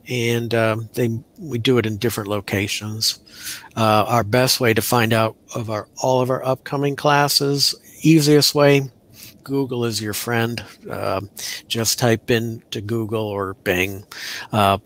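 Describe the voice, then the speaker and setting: A man's voice: medium pitch, a bit bright. Easygoing middle-aged man on a headset. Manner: easygoing, dry humor, repeats words. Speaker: extension program manager, guest. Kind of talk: casual live-stream chat.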